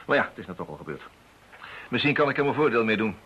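An actor playing an eager, determined investigator in a radio play, urgent and persuasive. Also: male voice, mid-range voice, big pitch swings, quick pace, breathy edge. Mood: brisk. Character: eager, determined male amateur investigator, impatient with police. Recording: dull lo-fi radio drama recording.

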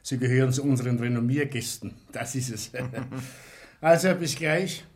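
Actor as a dutiful, medium-pitched prison warder. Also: male voice, normal speed, natural voice. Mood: matter-of-fact. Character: dutiful, matter-of-fact male prison warder. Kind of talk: 1960 German radio crime drama acting.

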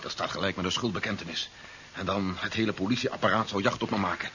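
Quick-talking, stubborn general. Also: male voice, low-pitched, sharp tone. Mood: dismissive, resolute. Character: stubborn, principled male general held in custody. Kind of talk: 1970s radio drama acting. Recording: dull radio recording with faint noise.

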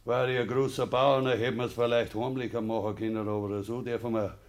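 Guy acting a gruff bavarian farmer son, radio play, medium pitch, bitter, sarcastic, short fuse. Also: little pitch movement, a little fast, lightly acted. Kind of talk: German radio drama acting.

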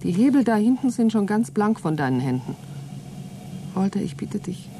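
A woman's voice: mid-range voice, sing-song, normal speed, warm tone, slight background noise. An actress playing an anxious but defiant woman trapped aboard with her partner in a radio play. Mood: worried, pleading. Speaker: radio drama actor. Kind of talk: German radio play acting, spoken logbook monologue.

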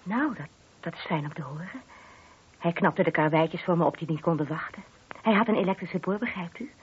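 A woman's voice: on the low side, a little fast, breathy edge. An actress playing a married woman who is a discreet but gossipy witness, hesitant, confiding and a little defensive in a radio play. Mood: coy, guarded. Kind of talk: Dutch radio drama dialogue. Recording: muffled lo-fi old radio recording.

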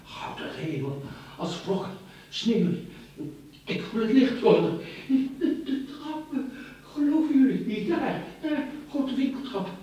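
A fussy ringleader doctor for a 1980s radio thriller. His voice is high and theatrically animated, gushing and repeating phrases. It rings in a very echoey space, away from the microphone. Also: a little slow, distant mic. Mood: delirious, dying. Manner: effusive, stammering, repeats himself. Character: fussy, glib male doctor, scheming ringleader of robbers. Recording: very echoey, off-mic, faint hiss.

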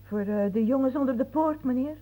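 A woman's voice: high voice, brisk, dark tone, rough recording. A woman with a Flemish accent playing a polite, chatty café proprietress in a radio play.

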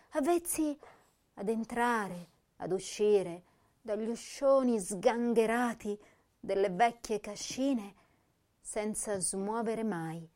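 Actress as a sad sensitive young woman poet, really slow dramatic verse, lingers on words. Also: mid-range voice, darkish tone, natural voice. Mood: yearning, ashamed. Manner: deliberate, lingering, emotive. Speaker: actress reciting poetry. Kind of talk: dramatic poetry recitation.

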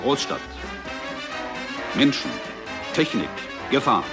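60s cop show announcer guy, terse and dramatic, loud music blaring behind him. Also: mid-range voice, very animated, slow pace. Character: male announcer of a 1960s TV police series opening. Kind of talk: 1960s television title narration. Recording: old TV soundtrack under loud music.

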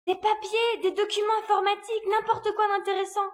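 A woman playing a decisive, bossy young woman leading a secret search in an audio drama, with a brisk, commanding manner. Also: extremely high pitch, little pitch movement. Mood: urgent.

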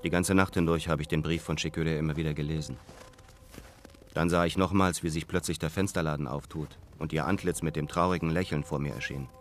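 An actor playing a brooding, lovelorn man who tells his story in a German radio play, measured and earnest. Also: extremely low pitch, fairly even intonation, a little hiss or hum. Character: brooding, lovelorn returning man, former painter's apprentice. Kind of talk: literary first-person narration in German radio play.

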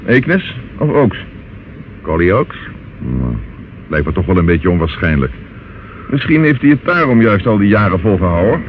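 Animated, probing police detective. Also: male voice, deep voice, normal speed, pauses often. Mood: pondering. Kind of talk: radio play acting. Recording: muffled, noisy old radio recording.

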